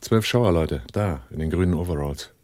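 An actor playing a shrewd, cool-headed arms smuggler in a German radio drama, husky and matter-of-fact. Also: male voice, low-pitched, normal speed, darkish tone, no room sound, natural voice. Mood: calm, instructive.

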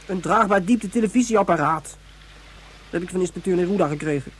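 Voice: mid-range voice, brisk, breathy edge, lightly acted. An actor playing a young, indignant, proud child in a Dutch science-fiction radio play, talking earnestly. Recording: noisy old radio drama recording.

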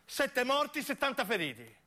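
A man tells a story as a theatrical radio monologue in a southern Italian accent. His voice sits high for a man. He speaks emphatically, leaning on repeated words like an incantation. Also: huge pitch swings, normal speed, pushed and bright. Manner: emphatic, incantatory repetition, acting out the characters as he tells. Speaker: narrating actor.

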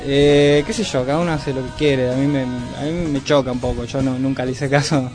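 A man, a band's bassist, talking haltingly as a radio guest with many fillers. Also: fairly even intonation, a little mellow, faint music behind. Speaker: band bassist and singer, guest. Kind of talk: casual radio interview. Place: radio studio.

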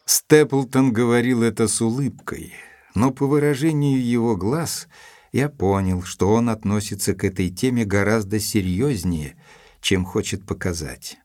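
An actor playing an earnest, guarded gentleman doctor in an audio drama, narrating in a measured, literary and formally courteous way. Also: on the low side, no room sound, clean studio sound, lightly acted. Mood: pensive narration.

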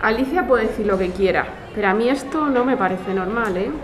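A woman's voice: mid-range voice, brisk, background noise, lightly acted. A woman with an accent from Spain playing a distrustful, blunt, colloquial friend in a naturalistic audio drama. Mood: indignant. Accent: Spanish, from Spain.

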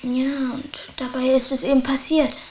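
A woman acting a plucky, troubled schoolboy with a high voice. The delivery is colloquial and matter-of-fact, in radio drama style, recorded with a roomy echo. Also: completely flat delivery, moderate pace, a little mellow, a little hiss or hum, lightly acted. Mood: sheepish.

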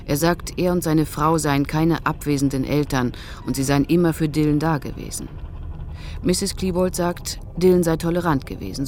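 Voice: mid-range voice, a little mellow, slight background noise, natural voice. A performer playing a sober official in a radio drama, reading witness statements in a formal, matter-of-fact way.

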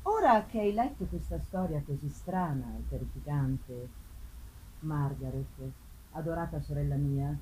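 A woman reading a gothic novel aloud as solemn, theatrical narration, with deliberate pauses, at a live performance. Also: sits low, lively intonation, dark tone. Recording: clearly noisy live reading with ambience.

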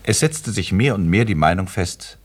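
Deep-voiced male radio play narrator. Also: expressive, moderate pace, breathy edge. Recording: music behind the voice.

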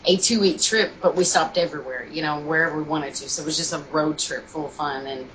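Young Kentucky-raised woman guest, deep voice, loose rambling delivery, light background noise. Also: fast, fairly bright tone, low-quality recording. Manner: rambling, many fillers and restarts. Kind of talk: casual interview chat.

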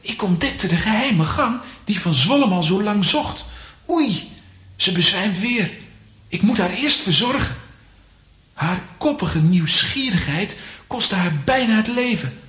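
Sceptical, animated young boy. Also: mid-range voice, slow pace. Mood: concerned. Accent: Flemish. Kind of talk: children's audio drama acting.

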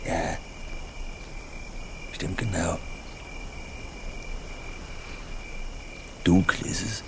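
An actor in a radio drama playing a sly, awkward country fellow with halting, needling, colloquial talk. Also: male voice, noisy background. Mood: awkward, probing. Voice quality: very low, creaky.

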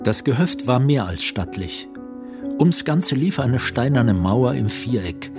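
A man's voice: mid-range voice, lively intonation, unhurried, music in the background. A man narrating a literary radio play as storyteller.

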